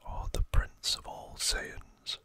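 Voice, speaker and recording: Asmr host whispering real close n dry, slow and halting, casual trivia explainer. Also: no echo. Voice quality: soft unvoiced whisper. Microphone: close microphone.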